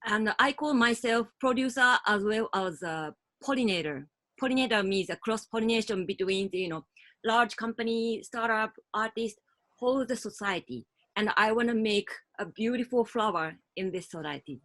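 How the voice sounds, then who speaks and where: A woman whose first language is Japanese, a startup co-founder speaking on an online panel in careful, halting English. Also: on the high side, fairly bright tone. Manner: careful non-native English with pauses.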